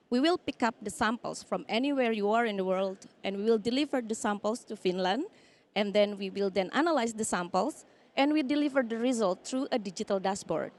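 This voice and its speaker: A woman pitching her company on stage, explaining steadily with small fillers. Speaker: startup founder pitching. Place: outdoor stage.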